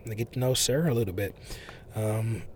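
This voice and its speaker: A man hosting a casual, unedited podcast monologue, talking in a loose, rambling way full of fillers and restarts, his voice a little hoarse from overuse. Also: lowish voice, brisk, darkish tone, a little hiss or hum. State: losing his voice, a bit hoarse. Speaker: podcast host.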